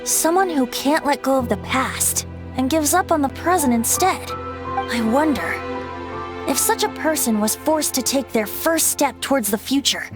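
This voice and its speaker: A woman's voice: high-pitched, measured pace, music in the background. A woman voicing a playful young bard in a video game, who speaks in a teasing, wry and musing way.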